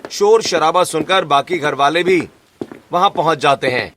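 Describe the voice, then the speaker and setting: A man narrates a scripted cartoon family story. His voice sits a little high for a man, with faint music playing behind it. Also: normal speed, fairly bright tone.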